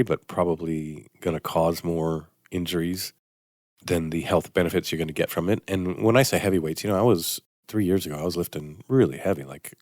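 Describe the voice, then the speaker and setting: An older male surgeon talks through a studio mic on his weekend radio show, his voice sitting very low and dry. He rambles, restarts and pokes fun at himself, with a faint sniffle. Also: darkish tone, no room sound. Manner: rambling, self-deprecating, conversational with restarts. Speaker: orthopedic surgeon radio host. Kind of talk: casual radio health talk.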